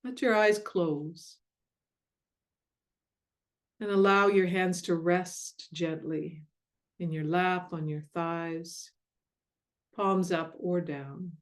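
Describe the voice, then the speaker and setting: A woman leading a guided meditation in a gentle, soothing, unhurried way with long pauses. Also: on the low side, steady tone. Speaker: meditation guide and mindfulness coach.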